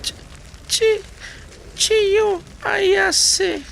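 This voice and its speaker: A man voicing a terrified young girl from a visual novel, in a halting, wavering falsetto, with some background noise. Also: fairly even intonation, vocal fry, a bit bright, exaggerated voice, low-quality recording. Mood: frightened, pleading. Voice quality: squeaky falsetto.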